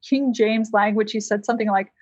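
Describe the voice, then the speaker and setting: An American woman, a licensed counselor, telling a personal story as a podcast guest in a lively, conversational way. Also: medium pitch, brisk, no room sound, highs cut off. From United States.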